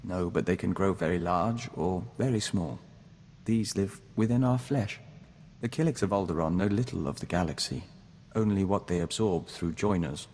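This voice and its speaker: Measured, low-voiced hive-joined envoy. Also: male voice, a little mellow, big-room sound. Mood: earnest, dreamy. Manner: formal, measured, speaks of himself as we. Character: calm, formal young man joined to an insect hive mind. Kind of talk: scripted video game voice acting.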